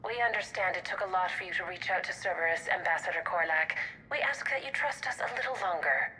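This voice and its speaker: A woman's voice: lowish voice, fast talker, bright, forceful tone, faint music behind, rough recording. An actress playing a cool female covert operative who makes polished, veiled threats in a game cutscene. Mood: coolly menacing. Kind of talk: video game cutscene acting.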